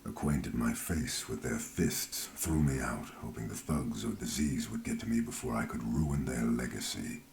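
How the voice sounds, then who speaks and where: A brooding, guarded man speaks in an extremely low, gravel-edged voice. His delivery is grave and formal, as in a dramatic fantasy game, with a roomy echo around it. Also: normal speed, hardly any pauses, noisy background. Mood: brooding, confiding. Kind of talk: dramatic video game voice acting.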